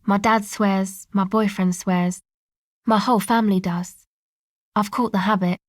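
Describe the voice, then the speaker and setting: A laid-back young woman from a video game, played by an actress, with a mid-pitched voice. She talks in casual slang with a sardonic, deadpan delivery. The sound is very dry, with no room around it. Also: a little slow, no room sound, close to an everyday voice. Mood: apologetic. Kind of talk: video game character dialogue.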